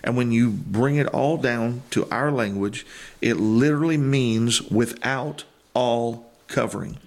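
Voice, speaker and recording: A man, a holiness preacher, teaching on a Bible passage and explaining word meanings in a deliberate way. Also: mid-range voice, creaky. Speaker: holiness preacher on another podcast. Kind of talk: teaching scripture on a podcast.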